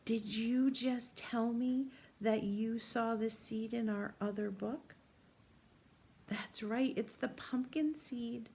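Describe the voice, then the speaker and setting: A woman doing an interactive read-aloud for young children, gently asking questions and pausing for answers. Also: a little high, steady tone, vocal fry. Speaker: teacher reading a picture book to young children. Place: small room at home, indoors.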